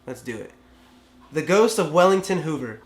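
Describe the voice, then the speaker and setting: A man's voice: a little high, lively intonation, normal speed. Congested young man, echoey room. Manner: laid-back, chatty, frequent fillers. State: sick and congested. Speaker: vlog co-host reading a story. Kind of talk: casual chat and reading aloud.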